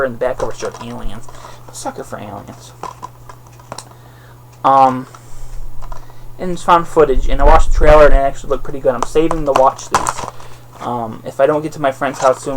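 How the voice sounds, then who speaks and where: A man with a lisp giving a casual, rambling movie review, halting and often correcting himself. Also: medium pitch, a bit bright, slight background noise, low-quality recording. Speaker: vlogger reviewing DVD purchases.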